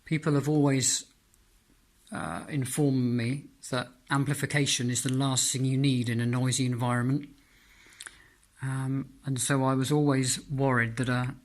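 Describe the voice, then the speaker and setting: Man giving an informal, halting hobbyist demonstration. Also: medium pitch, little pitch movement. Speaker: amateur radio hobbyist presenting own homemade antenna. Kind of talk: informal product demonstration vlog. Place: indoors in a flat.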